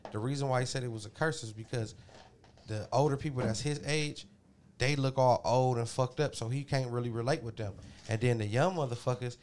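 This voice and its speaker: A man's voice: mid-range voice, brisk, darkish tone. A man sharing a reflective thought in casual, slangy chat, drawn out and musing.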